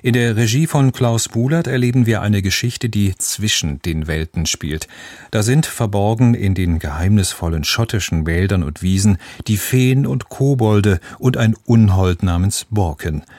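A man's voice: lowish voice, normal speed, darkish tone, no room sound, studio quality. A man presenting on the radio, reading a scripted introduction to a broadcast.

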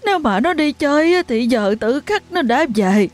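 A woman's voice: sits high, lively intonation, normal speed, soft background music, pitched above the performer's own voice. A woman playing a sobbing older village mother. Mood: sobbing, frantic. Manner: wailing, pleading. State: crying.

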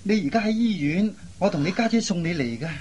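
A woman voicing a gentle, concerned young man in a radio drama, with an earnest, solicitous manner. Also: medium pitch, animated, fast talker, natural voice. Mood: soothing. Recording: faint background noise.

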